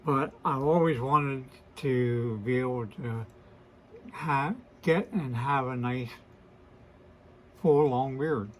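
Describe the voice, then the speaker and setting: A man in his sixties with a hoarse, weakened voice, hosting a casual to-camera vlog and talking in a hesitant, apologetic way with many fillers and restarts. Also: medium pitch, slow pace, slight background noise, low-quality recording. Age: 60s. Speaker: host of a beard channel.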